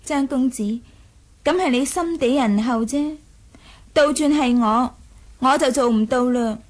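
A woman's voice: high-pitched, a little slow. A woman playing a proud, willful young princess in a radio drama, coy and imperious, teasing and ruthless. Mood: fierce, vengeful. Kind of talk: Cantonese radio drama acting, 1980s.

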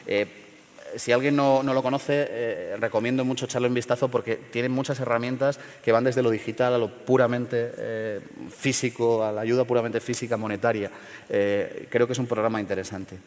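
A middle-aged man from León in Spain, speaking at a panel forum in a measured, hesitant way with many fillers and restarts. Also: mid-range voice, steady tone, in a large hall. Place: large theater stage.

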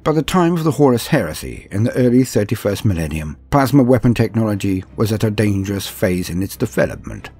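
Deep, clear-voiced male lore narrator. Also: animated, brisk, hardly any pauses, a little mellow. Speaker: narrator and host of a science fiction lore guide. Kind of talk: scripted narration of fictional lore. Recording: clean voice-over over faint music. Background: faint background music.

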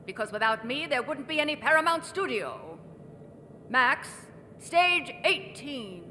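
A woman's voice: sits high, animated, a little slow, bright, forceful tone, slight background noise, heavily acted. A woman playing a grandiose, haughty faded film diva in a theatrical radio drama. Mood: imperious. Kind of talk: old-time radio drama acting. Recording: reverberant theatre stage recording.

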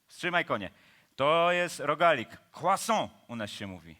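A man's voice: fairly high pitch, very animated, a little slow, pushed and bright, exaggerated voice. An actor playing a laid-back Parisian man-about-town in a comic radio operetta, teasing, chuckling and persuading. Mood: persuasive. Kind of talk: comic radio operetta acting, breaking into song.

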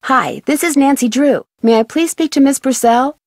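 An actress playing a polite, inquisitive young detective with an American accent in game dialogue. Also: female voice, high-pitched, lively intonation, moderate pace, darkish tone, no echo, clean studio sound. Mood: polite, curious.

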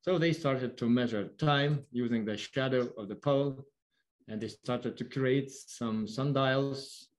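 A man with a Lithuanian accent gives an explanatory online lecture in halting, non-fluent English. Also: mid-range voice, poor audio. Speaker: observatory worker giving a lecture. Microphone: online video call.

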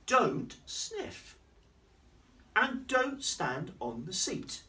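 Magical flying babies from a picture book, bossy and prim, drawing every word out slowly and a touch higher, as a man puts them on. The voice carries from across a classroom. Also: very animated, pushed and bright, distant mic, full character voice. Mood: scolding.

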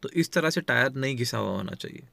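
A man's voice: mid-range voice, lively intonation, fast, gravelly, bright, forceful tone, no echo. A man, talking viewers through car maintenance checks beside his car outdoors, recorded on a handheld phone. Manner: explanatory, addresses viewers as friends. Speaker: car vlogger. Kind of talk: how-to car maintenance walkthrough.

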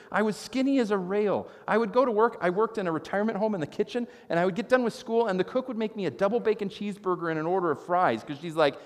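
A man in his thirties preaches from a church stage, his voice sitting a touch high for a man. He tells stories with lively gestures, and the sound carries a long church-hall reverb. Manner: animated storytelling, gesturing while preaching. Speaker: pastor. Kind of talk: sermon.

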